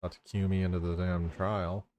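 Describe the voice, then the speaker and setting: A man's voice: low voice, little pitch movement, fairly quick, heavy background noise. A man giving casual, wry live commentary as a game streamer. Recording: game music behind.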